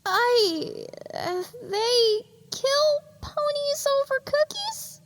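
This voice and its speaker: A woman's voice: very high voice, lively intonation, very slow, a bit bright, slight background noise, pitched above the performer's own voice, exaggerated voice. A woman voicing a cute, naive young pony in an audio drama, with an earnest, flustered manner. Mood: nervous. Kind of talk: audio drama character acting.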